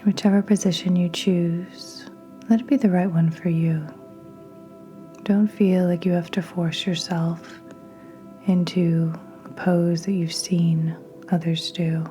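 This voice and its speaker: A woman guiding a meditation in a soft, breathy near-whisper, gentle and soothing, with long unhurried pauses. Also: on the low side, level tone. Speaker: podcast host and meditation guide. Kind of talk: guided meditation narration. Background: soft meditation music with singing bowls.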